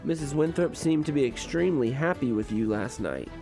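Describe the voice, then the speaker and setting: Man acting a genial erudite gentleman, mellow tone, mid pitch, genteel, radio play, music behind. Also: expressive, vocal fry. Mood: gently philosophical. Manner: genteel, measured, formal wording. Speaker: student radio drama actor. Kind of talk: comedic radio drama acting.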